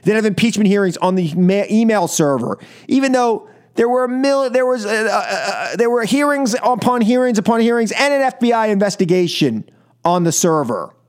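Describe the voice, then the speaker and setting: High-pitched, animated American male radio host. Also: normal speed, clear, resonant tone. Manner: emphatic, exasperated, rhetorical questioning with repeated phrases. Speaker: radio talk show host. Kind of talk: political opinion monologue and caller talk on radio.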